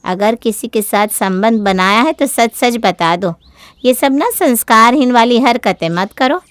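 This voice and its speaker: Woman playing a clear-voiced, fretful scolding mother. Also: a little high, measured pace, dry recording, studio quality, lightly acted. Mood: stern, questioning. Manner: fretful, admonishing. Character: worried, scolding middle-aged Indian mother of a grown daughter.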